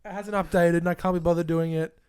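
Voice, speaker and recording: A man co-hosting a podcast, tossing in quick joking remarks. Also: fairly high pitch, level tone, darkish tone, clean tone, no room sound, studio quality. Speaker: podcast co-host. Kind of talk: casual podcast chat.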